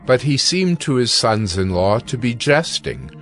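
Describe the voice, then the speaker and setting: A man's voice: medium pitch, big pitch swings, a little slow, a bit bright, soft background music. A man narrating scripture as a scripted dramatized reading.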